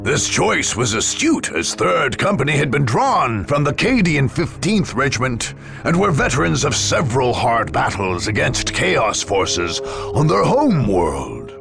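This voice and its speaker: A man's voice: medium pitch, creaky. A man delivering solemn, formal scripted narration for a video game story, in a dramatic storytelling manner.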